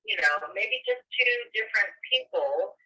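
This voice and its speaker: Deaf actress, slow speech over a phone. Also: female voice, mid-range voice, expressive, sharp tone. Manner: slow, searching for words. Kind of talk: interview answers. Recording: thin phone line, distant.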